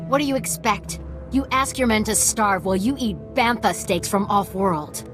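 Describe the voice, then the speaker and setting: An actress playing a principled, no-nonsense military officer in a video game, direct and businesslike. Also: female voice, mid-range voice, normal speed, music in the background, close to an everyday voice. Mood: indignant, scornful. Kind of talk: dramatic video game acting.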